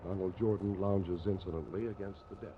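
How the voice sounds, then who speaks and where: Low-voiced male radio announcer, vintage sound. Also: steady tone, fairly quick. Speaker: announcer and narrator. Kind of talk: scripted 1950s radio narration, teasing questions. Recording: dull, hissy vintage radio recording.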